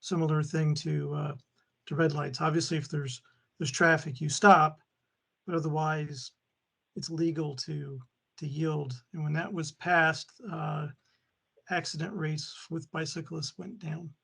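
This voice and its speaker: A man's voice: a little high, little pitch movement, a little slow, creaky, fairly bright tone, slightly rough. A man joining a community video call to explain a traffic law in a plain, informative way. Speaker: meeting participant.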